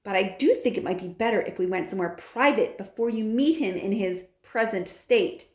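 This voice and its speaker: Storybook read-aloud: steady, proper old grandmother, done by a woman reader. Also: mid-range voice, fairly quick, roomy sound, lightly acted. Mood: firm. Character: calm, dignified old grandmother, patient and firm.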